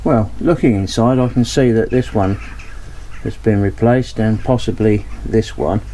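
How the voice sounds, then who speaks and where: A man's voice: on the low side, measured pace, dark tone, slight background noise, low-quality recording. A man, casually narrating as he inspects an old radio in his workshop, thinking aloud with frequent ums and hesitations. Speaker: radio restorer. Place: cluttered home workshop, indoors.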